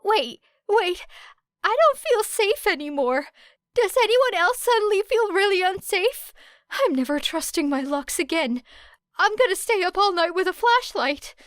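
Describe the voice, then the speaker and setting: A jittery teenage boy from an audiobook story, put on by a woman. He is dramatic and panicky, his voice pitched up high and pushed forward. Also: sing-song, measured pace, no echo, studio quality, exaggerated voice. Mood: nervous alarm. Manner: stammering, dramatic.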